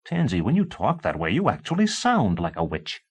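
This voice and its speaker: A man's voice: low voice, wildly swooping pitch, normal speed, a little mellow, slightly rough, heavily acted. A man reading an audiobook, voicing an exasperated professor husband who speaks firmly and impatiently. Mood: stern. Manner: firm, impatient.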